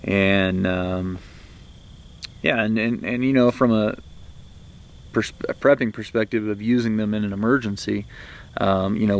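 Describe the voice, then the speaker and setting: A man with an American accent explaining gear casually to viewers in a rambling, hesitant, folksy way, as the host of a video. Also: on the low side. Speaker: video host, prepper reviewer. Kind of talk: casual explanatory product talk to camera.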